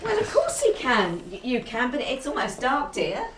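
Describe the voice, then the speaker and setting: Fretful English wife, wildly lilting voice. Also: female voice, on the high side, huge pitch swings, moderate pace, noisy background. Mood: fretful, deferential. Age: middle-aged. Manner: fussing, fretful, deferential. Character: anxious, devoted, submissive wife and mother. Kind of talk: radio drama acting.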